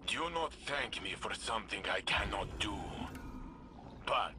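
A man's voice: fairly low pitch, expressive, measured pace. An actor playing a dutiful, loyal male warrior in a game cutscene, speaking formally and without contractions. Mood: solemn. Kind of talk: video game cutscene acting. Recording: game audio with noisy ambience.

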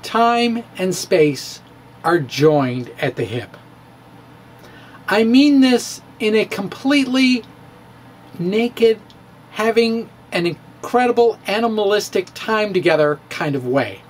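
Middle-aged man, theatrical playful reading, very lively pitch, slow unhurried pace, faint room hiss. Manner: theatrical, playful, with pauses and dips. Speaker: physics blogger. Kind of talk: dramatic reading of his own blog. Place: home room with bookshelves. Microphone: studio mic in front of him.

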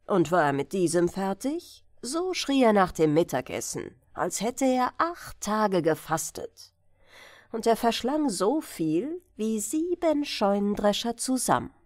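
A woman narrating a fairy-tale audiobook for bedtime with expressive, deliberate phrasing. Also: mid-range voice, no echo, studio quality.

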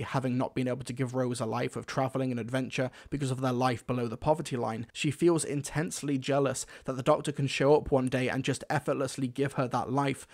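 A man hosting a scripted video-essay review in an enthusiastic, emphatic manner. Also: mid-range voice. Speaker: reviewer, host.

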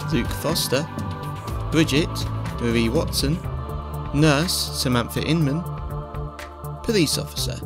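Male announcer for end credits, slow, formal delivery, loud music underneath. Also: mid-range voice. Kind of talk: scripted credits reading.

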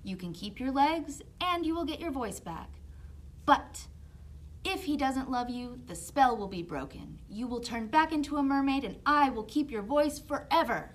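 A woman's voice: high voice, lively intonation, moderate pace. A woman voicing a sly, scheming sea witch in a children's puppet storytime. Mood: sly, ominous. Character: scheming female sea witch offering a bargain.

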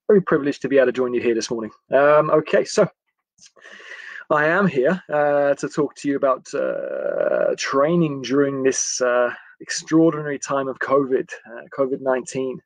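English male coach, gravelly edge, chatty and hesitant, live to webcam in a dry small room. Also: mid-range voice, dry recording, low-quality recording. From England. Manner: friendly, chatty, halting with frequent pauses. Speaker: football coach giving a livestream talk. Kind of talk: informal live talk to camera. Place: indoors, small attic room.

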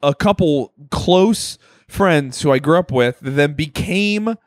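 A man's voice: a little high, expressive, slow, dry recording, studio quality. A man co-hosting a comedy podcast, rambling through stories in casual chat and laughing often. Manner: loose rambling storytelling, laughs a lot. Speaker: podcast co-host.

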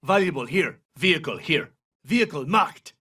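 An actor playing a battle-hardened special forces soldier in a video game, giving clipped, terse military callouts. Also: male voice, a little high, lively intonation, normal speed, pushed and bright, husky, recorded from a distance, full character voice. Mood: low, alert. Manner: clipped, terse, urgent radio-style callouts.

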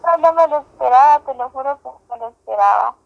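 Creaky-voiced woman on a muffled phone. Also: high voice, fast. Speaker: prank call recipient. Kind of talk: casual phone chat. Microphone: phone call on speaker. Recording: muffled telephone speaker.

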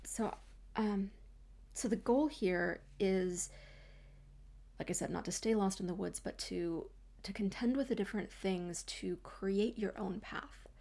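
A woman in her thirties speaks reflectively to her camera in a small room at home. Her mid-range voice carries a slight vocal fry, and she restarts her sentences often. She talks like a coach working through an idea. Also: mid-range voice, unhurried. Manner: thoughtful, gestures a lot, frequent restarts and soft trailing asides.

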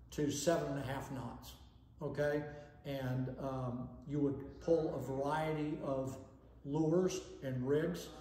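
A man's voice: a little high, warm tone. An older man giving a fishing seminar to camera, explaining in a folksy, instructional way and checking in with "okay?". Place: indoor room with fireplace and banner. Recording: echoey room, long reverb.

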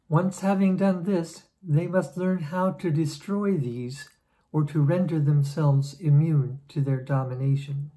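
A woman in her sixties reading aloud from a religious book in a measured, solemn way, in a room at home. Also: low-pitched, dark tone, poor audio. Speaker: lay reader.

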